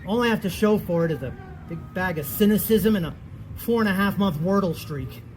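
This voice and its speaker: A man's voice: high voice, brisk, noisy background, lightly acted. A man playing an anxious, cynical middle-aged husband in a comedic stage play, stammering and self-deprecating. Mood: anxious, venting. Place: theatre stage at a festival.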